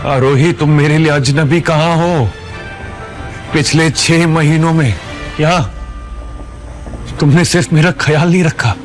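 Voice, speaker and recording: A man's voice: fairly high pitch, fairly even intonation, unhurried, long pauses, faint music behind, natural voice. An actor playing an earnest, devoted young man in love, speaking tenderly and reassuringly in a romantic audio drama.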